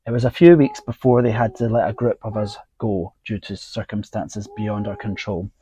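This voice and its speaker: A man reading scripted stories aloud, then chatting casually with fillers, his voice going husky. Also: on the low side, fairly even intonation, normal speed, mellow, dry recording. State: voice getting husky. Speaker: podcast host.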